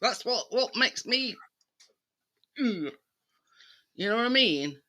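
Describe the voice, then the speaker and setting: A woman's voice: on the high side, animated, takes it slowly, pushed and bright. A woman from England chatting casually and insistently, circling around her point and checking that she is understood. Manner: insistent, circling, frequent "you know what I mean". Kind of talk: casual opinionated live-stream chat.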